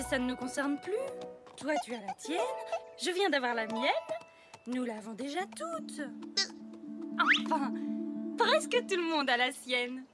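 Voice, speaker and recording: A woman voicing a snobbish, boastful young filly in a French cartoon dub, haughty and mocking. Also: high-pitched. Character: snobbish, boastful, mean rich schoolgirl filly. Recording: loud music behind the voice.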